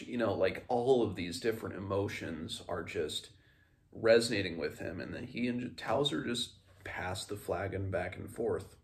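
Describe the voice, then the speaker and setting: A man with a fairly low voice hosts a casual book recap. He talks in a rambling, halting way, often doubling back to rephrase as he comments on the story. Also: gravelly.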